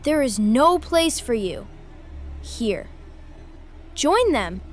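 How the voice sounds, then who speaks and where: A woman playing a menacing, demon-possessed boy who speaks deliberately and ominously in a dramatic table read. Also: a little high, sing-song, full character voice. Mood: cold command. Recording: noisy table-read room recording.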